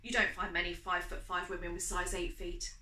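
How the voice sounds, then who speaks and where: A woman's voice: lowish voice, little pitch movement, brisk, pushed and bright, reverberant. A woman voicing a confident, friendly male firefighter who flirts, teases and reassures in a storybook romance. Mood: teasing. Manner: teasing, reassuring. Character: confident, flirty, friendly tall fireman on a date.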